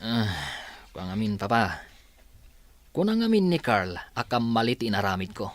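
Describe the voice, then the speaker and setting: A man's voice: lowish voice, big pitch swings, moderate pace, dry recording. A man acting a defensive, evasive young rich son in an Ilocano radio drama, hesitant and protesting. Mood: sheepish.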